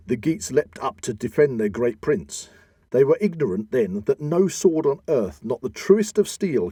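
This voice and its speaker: Husky man reading epic verse dramatically. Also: on the low side, darkish tone, dry recording, studio quality. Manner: theatrical, deliberate epic delivery with emphatic swells. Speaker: podcast host.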